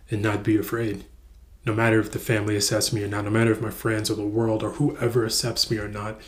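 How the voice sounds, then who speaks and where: A man's voice: lowish voice, darkish tone. A young man from the United States, talking earnestly in a reflective personal vlog and stumbling over words with frequent repeats. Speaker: vlogger.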